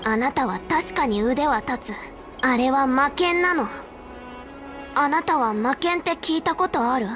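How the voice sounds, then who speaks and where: A woman's voice: sits high, a little fast, music in the background, natural voice. A woman playing a headstrong, earnest young girl in a video game, speaking in an insistent, matter-of-fact way. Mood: earnest, explanatory. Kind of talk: Japanese game voice acting.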